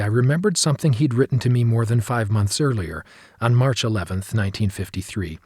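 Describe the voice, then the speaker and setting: A man with a slightly husky voice reads a memoir as an audiobook narrator, in a measured, earnest manner. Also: on the low side, no echo, studio quality.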